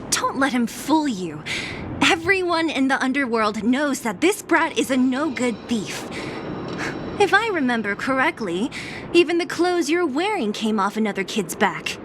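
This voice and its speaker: A woman's voice: high-pitched, very animated, unhurried, noisy background. An actress playing a brash, streetwise young woman fighter in a video game, teasing and blunt. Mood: exasperated, accusing. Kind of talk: video game cutscene voice acting.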